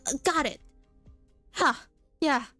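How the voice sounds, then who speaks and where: A shy young mercenary girl who stumbles over her words and seldom finishes a thought, voiced by a woman reading a game story aloud. Her voice runs high and soft, with quiet game music underneath. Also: slow, no room sound, exaggerated voice. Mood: timid. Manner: hesitant, stammering.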